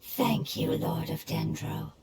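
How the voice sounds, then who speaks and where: A woman's voice: sits low, slow pace, darkish tone, a little hiss or hum, exaggerated voice. A woman voicing a solemn, devoted otherworldly spirit in a video game, speaking formally and reverently. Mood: solemn, grateful. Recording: echoing voice effect under clear music.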